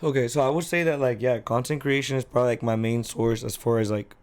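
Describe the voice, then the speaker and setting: A man's voice: mid-range voice, steady tone, fast, darkish tone. A man in his twenties talking as a guest in a casual podcast chat, laid-back and slangy, saying "bro" and "like" a lot. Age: 20s.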